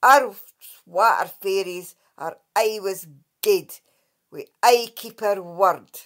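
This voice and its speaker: A woman's voice: mid-range voice, takes it slowly, fairly bright tone. A woman voicing a tiny, ugly male water fairy with a Scottish accent, cheeky and scolding.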